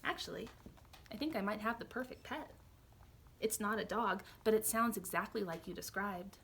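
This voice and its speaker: A woman reading a storybook aloud, voicing an eager-to-please male animal shelter keeper in a slightly lowered voice. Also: normal speed, creaky, slight background noise, lightly acted. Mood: hopeful.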